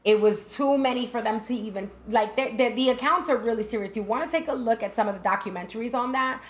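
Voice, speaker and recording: A woman's voice: on the high side, fast. A woman from the United States hosting a show, talking casually and chattily, with frequent fillers and restarts, and putting rhetorical questions to her listeners. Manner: frequent fillers and self-corrections. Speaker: host. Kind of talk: casual talk-show monologue.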